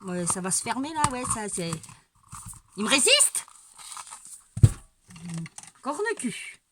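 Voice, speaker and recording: A woman's voice: on the high side, expressive, takes it slowly. A woman with a cold, talking through a casual painting demonstration at home, hesitant and rambling with repeated words and wry asides. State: stuffy-nosed with a cold. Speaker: amateur painter showing her technique. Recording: home recording with clear background noise. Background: dog barking now and then.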